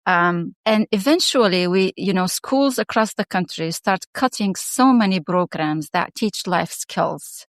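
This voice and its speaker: A woman's voice: fairly low pitch, animated, a little slow, hardly any pauses, no room sound, clean studio sound. A middle-aged woman from Syria, a guest in an interview, speaking in a reflective, searching way with many restarts and fillers. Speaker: guest, education founder and former principal. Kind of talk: casual interview conversation.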